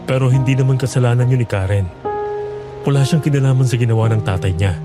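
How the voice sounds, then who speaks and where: A man's voice: mid-range voice, quick pace, lightly acted. A man playing a respectful, forgiving young nephew in a dramatized horror story, polite and earnest. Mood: sympathetic. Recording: music bed underneath.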